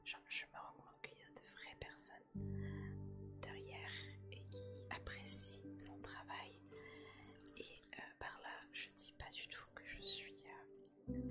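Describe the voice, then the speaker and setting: A woman whispering an intimate ASMR chat to the listener, softly and hesitantly, in short phrases with pauses between them. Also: extremely high pitch. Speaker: ASMR creator speaking as host to viewers. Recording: music playing clearly behind the whisper. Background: music.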